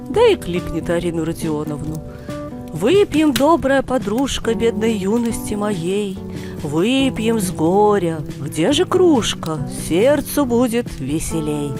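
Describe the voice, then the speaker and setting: A woman reading a short story aloud as an audiobook narrator, in an expressive scripted style. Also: lowish voice. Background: music.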